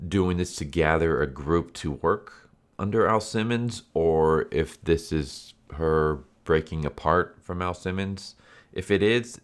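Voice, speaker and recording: Very low-pitched man, congested nasal voice, loose rambling comic review talk. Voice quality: nasal, stuffy. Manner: rambling, full of fillers and restarts. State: congested from illness.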